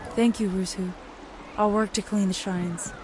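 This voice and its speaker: An actress playing a gentle, determined young spirit guide in an animated video game, speaking softly and sincerely. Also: female voice, medium pitch, unhurried, noisy background, lightly acted. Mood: grateful, resolved.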